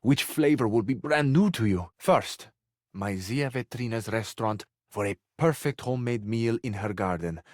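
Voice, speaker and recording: A man's voice: mid-range voice, normal speed, no room sound, studio quality, lightly acted. A man voicing a flamboyant, hopelessly romantic Italian charmer in a video game, with an Italian accent and a florid, amorous delivery that savors each phrase. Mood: rapturous, inviting. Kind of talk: theatrical video game character acting.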